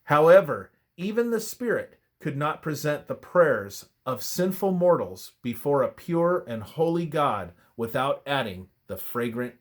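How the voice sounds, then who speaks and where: A man's voice: on the high side, sing-song, unhurried, few pauses. Man reading a devotional study aloud. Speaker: reader of a religious study. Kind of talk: scripted devotional narration, read aloud.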